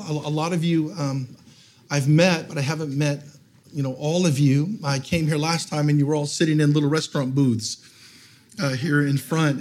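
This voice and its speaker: A man's voice: medium pitch, darkish tone. A middle-aged man, a guest pastor who is losing his voice, preaching a sermon to a live audience in a church, telling funny stories and laughing often. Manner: humorous storytelling, laughing often.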